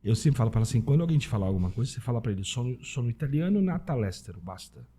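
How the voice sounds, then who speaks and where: A Brazilian man with a husky voice talking casually as a podcast guest, informal and anecdotal, often checking in with tag questions. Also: medium pitch, animated, racing, mellow. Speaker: podcast guest, Italian citizenship specialist. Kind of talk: casual conversational interview.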